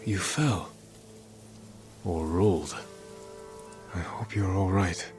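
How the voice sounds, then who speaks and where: Low-voiced solemn samurai. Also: male voice, a little mellow, soft background music. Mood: tender, concerned. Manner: grave, terse, measured. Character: stoic, solemn samurai warrior, devoted to his horse. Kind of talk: video game cutscene acting.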